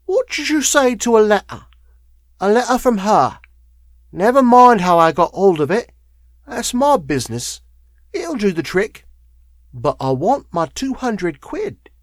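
Seeking a man to play a sly, coarse slum woman for a storybook: high, wheezy, wheedling, wildly swooping. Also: very animated, unhurried, pauses often, no echo, studio quality. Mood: cunning, greedy. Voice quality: wheezy, chuckling. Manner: wheedling, coarse, addresses listener familiarly. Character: bent, wheezy, cunning middle-aged slum woman.

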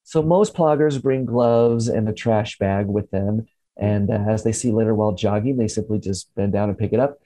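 Upbeat male host with a dark tone. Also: lowish voice, normal speed, dry recording. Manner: upbeat, punny, laughs often. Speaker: radio show host. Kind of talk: casual scripted health segment. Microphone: remote call from home.

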